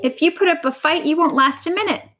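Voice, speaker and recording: A frantic old female vulture from a storybook, acted out by a woman, screeching in a high, bright voice. She is bossy and urgent, firing off exclaimed orders. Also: quick pace. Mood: insistent.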